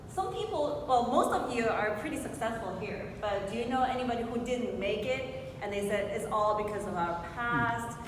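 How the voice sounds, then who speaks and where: Echoey hall recording of a woman speaking motivationally. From Hong Kong. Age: 40s. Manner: rhetorical questions to the audience. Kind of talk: motivational speech telling a personal story. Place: large echoing room before an audience. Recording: very echoey hall with clear noise and rumble.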